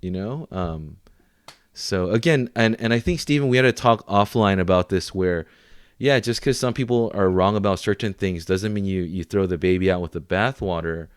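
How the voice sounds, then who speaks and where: A man's voice: low-pitched, normal speed, clean tone, no room sound, clean studio sound. A man co-hosting a podcast, talking casually in informal, bro-ish slang. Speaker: podcast co-host.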